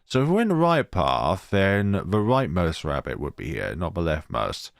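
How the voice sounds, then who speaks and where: A man's voice: deep voice, huge pitch swings, moderate pace, gravelly, no echo, clean studio sound. A man reading a horror visual novel aloud as a let's player, adding casual, wry, understated asides. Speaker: video game let's player.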